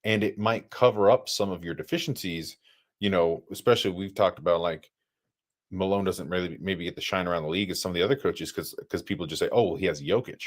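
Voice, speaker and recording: A man's voice: deep voice, fast talker. A man co-hosting a podcast, chatting casually and building his points step by step. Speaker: podcast co-host. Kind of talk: casual sports chat.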